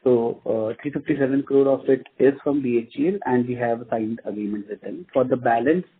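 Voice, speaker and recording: An Indian-accented man, a finance executive, answering investor questions in a measured, formal way with repeated words. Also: mid-range voice, slight background noise. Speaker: company finance executive on an earnings call. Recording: muffled narrow phone-line audio.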